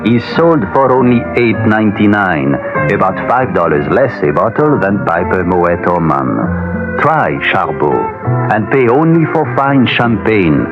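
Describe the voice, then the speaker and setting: An actor playing a proud champagne maker in a 1970s radio advertisement. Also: male voice, fairly low pitch, very animated, measured pace. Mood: proud, persuasive. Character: proud French champagne vineyard owner. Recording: dull 1970s radio broadcast. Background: music.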